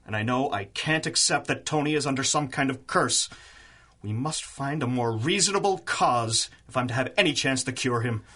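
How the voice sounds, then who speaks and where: A man's voice: mid-range voice, moderate pace, bright, forceful tone. A man playing a cautious, kindly doctor in a 1930s-style radio drama, speaking in a grave, formal manner. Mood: grave, concerned.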